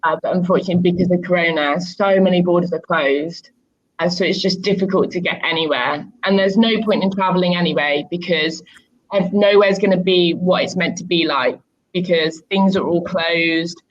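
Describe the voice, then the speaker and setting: A woman's voice: lowish voice, moderate pace. A woman who teaches English online, chatting with a student over a video call in an easygoing way, with many ums. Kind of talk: casual conversation lesson. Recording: muffled video-call audio.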